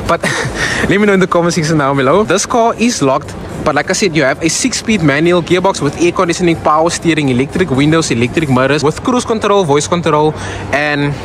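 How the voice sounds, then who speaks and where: A fairly high-voiced young South African man, Afrikaans by birth, chatting his way through a used-car walkaround. He keeps calling his viewers "guys" in an easygoing, talkative way. He records on a phone outdoors, with clear street and lot noise behind him. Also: fairly quick. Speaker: car vlogger. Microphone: phone camera.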